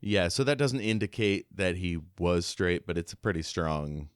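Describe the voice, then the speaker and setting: Deep, smooth male podcast co-host. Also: animated, moderate pace, no room sound, studio quality. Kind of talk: casual book discussion chat.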